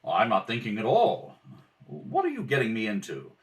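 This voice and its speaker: Man as a wry, wisecracking retired detective, animated. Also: mid-range voice, moderate pace, gravelly. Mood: wry, reluctant. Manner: dry, wisecracking. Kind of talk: radio play acting. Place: kitchen table at home.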